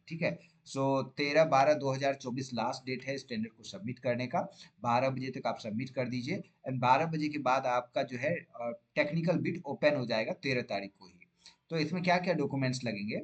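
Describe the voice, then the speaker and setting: An Indian man speaks in a medium-pitched voice as a channel host explaining things step by step. His delivery is informal and instructional. He blends Hindi with English terms and often adds short questioning tags to check the listener follows. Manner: frequent check-in tags like "right?" and "okay?".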